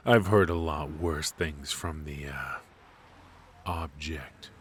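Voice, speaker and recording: Casting: male actor as ruthless noir mafia boss, extremely low voice, unhurried, teasing and smug, ASMR roleplay. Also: slight background noise. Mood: amused, wry. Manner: slow, smug, teasing, with drawn-out pauses.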